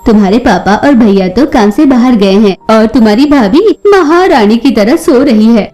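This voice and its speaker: A woman's voice: fairly high pitch, expressive, moderate pace, mellow, faint music behind, natural voice. A woman voicing a doting but sly older female bird mother in a cartoon story, gushing and sarcastic. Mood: snide. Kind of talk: Hindi children's cartoon story acting.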